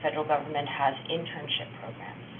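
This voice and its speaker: A narrow, phone-like recording with clear noise carries a woman's voice. She speaks as a government official at a roundtable, earnest and deliberate, pausing for weight. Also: low-pitched, flat delivery. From Canada. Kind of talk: roundtable remarks. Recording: muffled phone-like line, loud noise.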